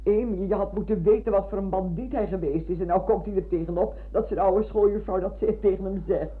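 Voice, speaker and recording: Radio play: actress as garrulous old schoolmistress, devoted wife, husky, on a thin old radio recording. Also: female voice, mid-range voice, narrow, tinny audio, lightly acted. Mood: teasing reproach. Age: older. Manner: garrulous, halting with repetitions.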